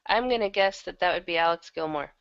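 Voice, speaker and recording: A woman chatting casually as an interview guest, with a gravelly creak in her voice, over a lo-fi online call. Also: fairly low pitch, quick pace, a bit bright. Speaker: interview guest, audio drama producer. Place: online video hangout.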